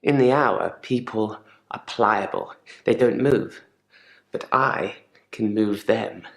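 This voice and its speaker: An actor playing a controlled, quietly menacing therapy patient in a game drama, speaking in a measured, deliberate and politely probing way. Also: male voice, lowish voice, vocal fry, echoey room, low-quality recording. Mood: quietly eerie. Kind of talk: live-action game drama monologue.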